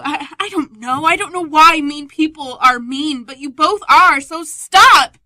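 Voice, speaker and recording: A woman's voice: high voice, bright, forceful tone, no room sound. A young woman playing a timid, unsure young woman in a radio play, speaking hesitantly and getting flustered. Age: young adult. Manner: hesitant, flustered, easily hurt. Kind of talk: amateur radio play acting.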